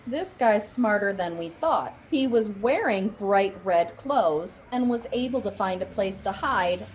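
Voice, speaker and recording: Dry, medium-pitched policewoman played by a woman. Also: animated, a little slow, a little hiss or hum, natural voice, low-quality recording. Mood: skeptical. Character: skeptical, weary female police officer.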